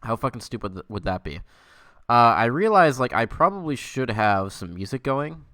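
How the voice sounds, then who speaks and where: A man's voice: mid-range voice, big pitch swings, normal speed, bright, forceful tone. A man hosting a livestream with casual chat, rambling, self-amused and casually profane. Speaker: livestream host.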